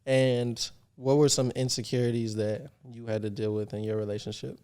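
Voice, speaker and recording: A man's voice: mid-range voice, level tone, moderate pace, mellow, smooth. A young man hosting a casual podcast chat, asking loose, slangy questions and laughing often. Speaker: podcast host.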